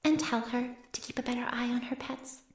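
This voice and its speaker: Woman acting a female ghoul, higher-pitched, gloating, haughty, mocking, savoring each word, roomy echo, ASMR audio drama. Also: steady tone, a little fast, close to an everyday voice. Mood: grudging, defensive. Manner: haughty, mocking, mock-innocent, savoring words. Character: sly, gloating flesh-eating female monster in youthful skin.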